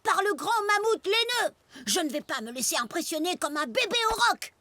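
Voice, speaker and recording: A woman playing an excitable cartoon caveboy, boastful and easily scared, talking in dramatic exclamations. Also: extremely high pitch, moderate pace, no room sound, exaggerated voice. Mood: determined. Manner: exclamatory, dramatic, mock-heroic. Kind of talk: animated children's cartoon dub. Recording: loud music behind the voice.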